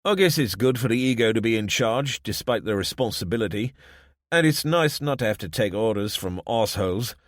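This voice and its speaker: A man's voice: lowish voice, animated, brisk, dry recording, clean studio sound, voice pushed up, close to an everyday voice. A man voicing a wry, understated man with a London accent who reluctantly leads the town's police. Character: wry middle-aged man, reluctant town police chief.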